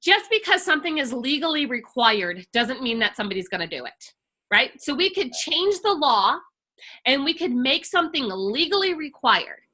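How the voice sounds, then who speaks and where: A woman's voice: sits high, sing-song, moderate pace, bright, forceful tone. A middle-aged woman, an education coach, speaking with emphatic passion in a casual conversational workshop. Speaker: IEP coach and workshop host.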